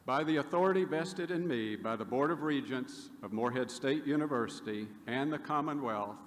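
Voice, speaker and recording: Man, university official, measured formal reading, faint noise, long reverb. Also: medium pitch. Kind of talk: formal ceremony presentation. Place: large hall. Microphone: podium mic. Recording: echoey hall, faint noise.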